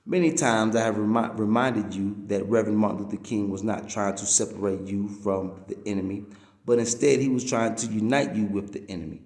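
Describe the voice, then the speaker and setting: A man with a fairly low voice reads a written message aloud like a preacher. He leans on key words and stretches them out for emphasis. The recording is roomy, with a clear echo around his voice. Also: brisk, darkish tone. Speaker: reader reading a text aloud. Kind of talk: read-aloud of a religious-political message, preaching delivery.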